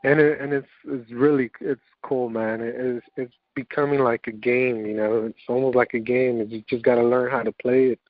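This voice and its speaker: A man talks over a muffled, very dry conference call in a medium-pitched voice. His style is casual and motivational, full of anecdotes and questions he puts to himself. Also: fairly even intonation, moderate pace, hardly any pauses, no room sound. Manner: informal, anecdotal, self-questioning. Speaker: participant in a self-improvement conference call. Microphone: conference call line. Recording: dull, lo-fi conference call audio.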